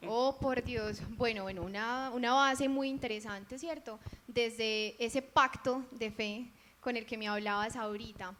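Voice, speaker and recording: A woman's voice: a little high, steady tone, unhurried, a bit bright. A woman hosting a faith interview, asking her guest questions in a friendly, inviting way. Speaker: interview host.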